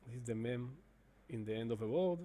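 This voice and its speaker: Halting man with a mellow voice. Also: mid-range voice, lively intonation, slight background noise. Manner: halting, many fillers and restarts. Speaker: presenter demonstrating software. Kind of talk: screen-recorded explanation.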